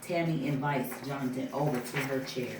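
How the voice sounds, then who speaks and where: A person reading screenplay stage directions aloud at a table reading, a little haltingly. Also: medium pitch, fairly even intonation. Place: indoor room at a table reading. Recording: echoey room from far off, faint noise.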